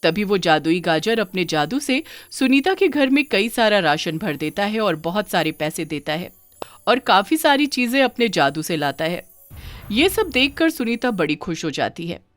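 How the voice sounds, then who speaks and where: Animated woman storyteller, dry recording. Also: mid-range voice, normal speed, no echo, faint music behind. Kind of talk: scripted children's story narration.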